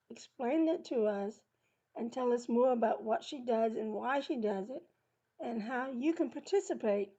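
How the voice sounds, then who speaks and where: A woman with a New York accent hosts a podcast in a high voice and an animated manner. The sound is a little dull and muffled. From New York City. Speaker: podcast host. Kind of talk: hosting a podcast interview.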